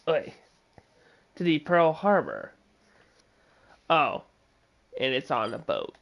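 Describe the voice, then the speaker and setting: A man streaming a game live, chatting casually with viewers in a halting way with many filler words. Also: a little high, wildly swooping pitch, gravelly, dull audio. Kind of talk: casual live gameplay commentary.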